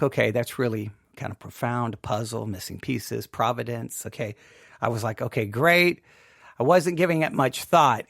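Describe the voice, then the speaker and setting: A man with a slightly high-pitched voice gives informal personal commentary as a host. He is emphatic and often halting, circling back to repeat words and phrases for weight. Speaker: podcast host.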